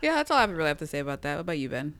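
A woman's voice: sits low, sing-song, rapid-fire, a bit bright. A woman co-hosting a podcast in a casual chat, rambling and hedging with lots of fillers. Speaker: podcast co-host.

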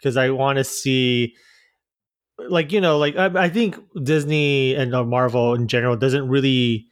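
A man co-hosting a podcast in casual chat, speaking haltingly with pauses mid-sentence. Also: medium pitch, steady tone, no echo, studio quality. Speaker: podcast co-host.

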